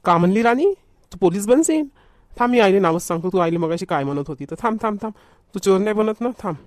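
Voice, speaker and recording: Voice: mid-range voice, expressive, fast talker, no room sound, clean studio sound, natural voice. A performer voicing a food-loving, whiny young schoolboy in a homemade comedy cartoon, with a Varhadi Marathi accent. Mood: teasing, bossy. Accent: Varhadi Marathi from Vidarbha.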